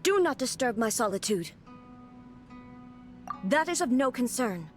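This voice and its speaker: A woman's voice: a little high, big pitch swings, a little slow, soft background music. An actress playing a cold, imperious female shogun puppet in a video game, curt and formal. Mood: cold, commanding.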